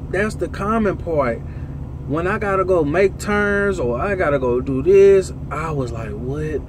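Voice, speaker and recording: A man's voice: on the high side, unhurried, noisy background. A man with a light voice telling a loose, profane story about his work as a truck driver. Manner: loose, profane, animated when quoting others. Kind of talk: casual storytelling.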